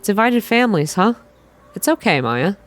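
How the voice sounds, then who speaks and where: A woman voicing a friendly, sympathetic young female companion in a visual novel, chatty and gentle. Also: mid-range voice, very animated, moderate pace, pitched above the performer's own voice, close to an everyday voice.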